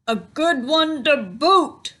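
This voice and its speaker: Woman doing a rowdy, loud pirate crew chant. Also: high-pitched, expressive, voice pushed up, heavily acted. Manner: drawn-out chanting shouts. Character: rowdy crew of male pirates echoing their captain.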